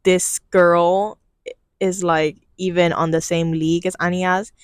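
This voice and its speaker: A young woman co-hosting a podcast, chiming in during a casual gossip chat with quick reactions and comments. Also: lowish voice, flat delivery, no echo, studio quality. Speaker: podcast co-host.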